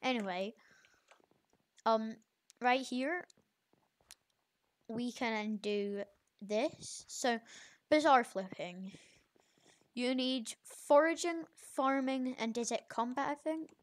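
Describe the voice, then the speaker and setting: A young video creator with an informal, halting, self-correcting way of talking, giving a casual game tutorial. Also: medium pitch, animated. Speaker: gaming video creator, host.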